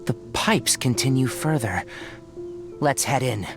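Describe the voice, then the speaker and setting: Young forest ranger scholar, analytical, precise, measured, lively intonation, video game style, music underneath. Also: male voice, medium pitch, creaky. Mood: focused, calm. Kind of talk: English video game voice acting.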